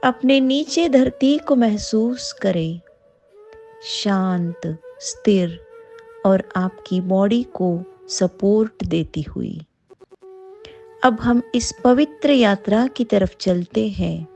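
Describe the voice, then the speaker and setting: Very slow, mellow Indian woman guiding meditation. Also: medium pitch, faint music behind. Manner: soothing, unhurried, with long pauses. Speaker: yoga nidra guide. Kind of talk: guided meditation.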